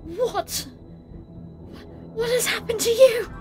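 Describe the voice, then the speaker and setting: A woman's voice: extremely high pitch, takes it slowly. A woman playing a frightened, pleading young victim in an audio drama, with a tremulous, panicky delivery. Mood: anxious, pleading. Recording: music behind the voice.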